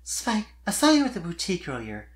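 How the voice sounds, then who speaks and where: A performer voicing a kind, perceptive young female unicorn pony in a soft, earnest way for a cartoon fan story. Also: mid-range voice, wildly swooping pitch, brisk, pitched above the performer's own voice. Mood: gentle, knowing.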